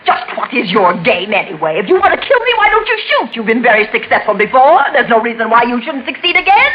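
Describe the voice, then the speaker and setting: A woman's voice: a little high, sing-song, quick pace, slight background noise. An actress playing a frightened wife who suspects her husband is a murderer, in tense, pleading 1940s radio acting. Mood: terrified. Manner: tense and pleading, rising to desperate outbursts. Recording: muffled vintage radio recording.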